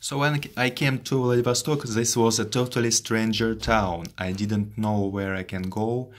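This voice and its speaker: A Russian man in his twenties, a native Russian speaker telling his own story in English, haltingly, with many fillers and repeated words. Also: lowish voice, steady tone. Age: 20s. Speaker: vlogger. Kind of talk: personal story to camera.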